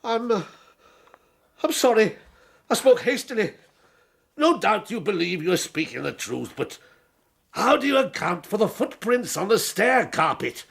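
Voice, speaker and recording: An actor in a period radio drama playing a frantic, paranoid, quick-tempered Victorian lodger, agitated and emphatic. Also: male voice, high voice, sing-song, creaky, fairly bright tone, husky, exaggerated voice. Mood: agitated, insistent. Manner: agitated, emphatic, pleading and demanding.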